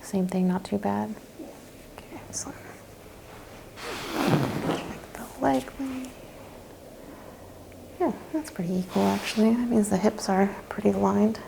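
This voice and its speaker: A woman speaking softly and breathily, at times in a whisper, as a gentle, reassuring practitioner in an ASMR role-play. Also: mid-range voice, measured pace, creaky, long pauses, slight background noise, rough recording. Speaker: ASMR host role-playing a chiropractor.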